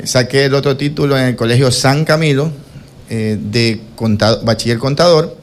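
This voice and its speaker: A man with a coastal Ecuadorian accent answering interview questions about himself in a formal, deliberate, hesitant way. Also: mid-range voice, vocal fry. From Quevedo, Ecuador. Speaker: interview guest, accountant.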